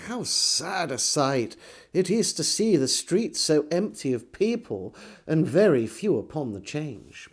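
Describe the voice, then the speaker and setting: A man's voice: on the high side, a little mellow. An English man giving a scripted, theatrical reading in the manner of a storyteller introducing and narrating an old diary. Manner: measured, theatrical delivery. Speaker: storyteller and host.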